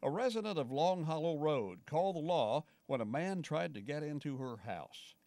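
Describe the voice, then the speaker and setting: A man's voice: fairly high pitch, expressive, normal speed, mellow, no echo. A man reading scripted narration for a television news feature as the reporter.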